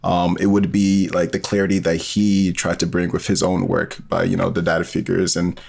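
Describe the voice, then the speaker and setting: A man's voice: deep voice, level tone, normal speed, creaky, darkish tone. A man speaking as an interview guest, explaining things informally and step by step with many hesitant fillers. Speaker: podcast guest, bioinformatics professional and storyteller. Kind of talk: casual explanatory interview talk.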